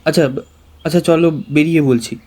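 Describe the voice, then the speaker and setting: Man acting eager, mellow-voiced young student. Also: a little high, steady tone, moderate pace, lightly acted. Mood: agitated. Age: young adult. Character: Anish, excitable, earnest young male college student. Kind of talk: Bengali audio drama acting.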